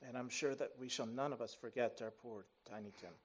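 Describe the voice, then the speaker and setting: Man acting a tender bereaved father, medium pitch, humble, earnest, kindly, old-time radio play. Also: steady tone, a little fast, husky, close to an everyday voice. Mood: tender sorrow. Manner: earnest, gently emotional.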